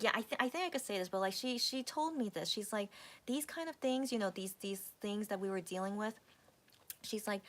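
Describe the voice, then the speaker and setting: Woman, 30s, livestream host; casual rambling talk with restarts and whispered asides. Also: a little high. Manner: rambling, many restarts and fillers, drops to whispers. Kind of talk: casual chat with viewers.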